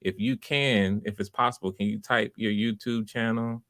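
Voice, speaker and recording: A man hosting live auditions in casual, unscripted chat, with an encouraging manner and a halting way of repeating and backtracking. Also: lowish voice, steady tone, a bit bright, no room sound, studio quality. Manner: encouraging, supportive. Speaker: host running live voice auditions.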